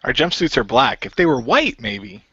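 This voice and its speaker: A man's voice: mid-range voice, big pitch swings, moderate pace, sharp tone, husky, lo-fi sound, heavily acted. A man playing a naive, literal-minded ghost hunter in an improvised role-play, rambling along casually.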